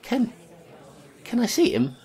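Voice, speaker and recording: A woman's voice: on the high side, wildly swooping pitch, takes it slowly, darkish tone, exaggerated voice. A woman playing a determined constable who talks bluntly and reasons things out aloud.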